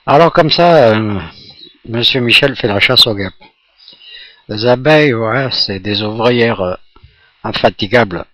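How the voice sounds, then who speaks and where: A man's voice: mid-range voice, measured pace, pauses often, fairly bright tone, no echo. A jovial man chatting casually as an amateur radio operator, talking in a rambling, hesitant way.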